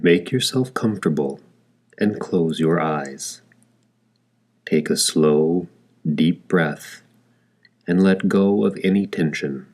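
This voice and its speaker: Very deep-voiced man guiding meditation slowly. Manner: gentle, calm, deliberate phrasing with long pauses. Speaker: guided meditation narrator. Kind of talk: guided meditation, slow soothing scripted narration.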